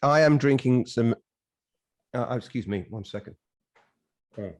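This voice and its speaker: A man's voice: mid-range voice, lively intonation, unhurried, no room sound. A man chatting as a podcast co-host, with erudite wording that is by turns hesitant and emphatic. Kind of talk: casual podcast chat.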